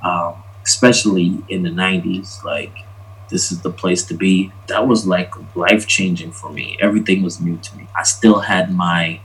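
A Jamaican-born man, talking casually as an interview guest, relaxed and rambling with lots of ums. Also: low-pitched, normal speed, breathy edge, rough recording. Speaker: interview guest, graphic designer.

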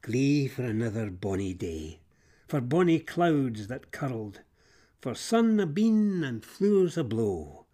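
A Scottish man narrating fables in Scots verse, theatrical and expressive, moving between hushed drops and emphatic swells. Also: medium pitch, takes it slowly.

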